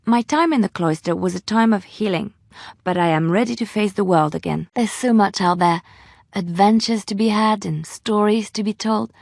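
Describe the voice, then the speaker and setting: An actress playing a gentle, wistful young bard in a video game, speaking in a measured, tender way. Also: female voice, medium pitch, gravelly, dry recording, studio quality. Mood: hopeful, thoughtful. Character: gentle romantic young female bard adventurer, wistful but resilient. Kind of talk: video game character dialogue.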